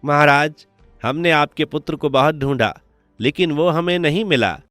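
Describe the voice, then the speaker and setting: A man's voice: a little high, normal speed, a bit bright, faint music behind, lightly acted. A man voicing a dutiful royal minister in a cartoon, formal and deferential. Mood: apologetic. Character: dutiful royal minister reporting to his king.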